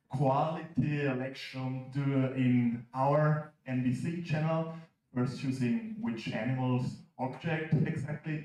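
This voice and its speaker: A man's voice: medium pitch, a little mellow. A man with an Italian accent, presenting a new mascot to an assembly. He jokes around and stumbles over fillers. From Italy. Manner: joking, halting with many fillers. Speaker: association president presenting a mascot. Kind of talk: stage announcement at an assembly. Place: large conference hall. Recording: echoey hall, distant mic, dull sound.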